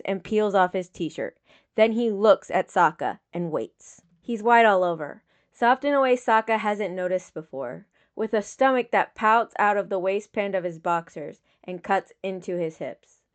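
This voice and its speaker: A woman reading dramatic fiction as a scripted narration, with measured, solemn phrasing. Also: medium pitch. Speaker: audiobook reader of fan fiction.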